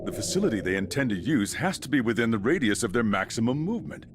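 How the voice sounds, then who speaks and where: A man acts out an earnest fighter from an anime game. His mid-range voice is highly animated. Clear noise runs behind him. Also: quick pace, lightly acted. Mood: puzzled, eager.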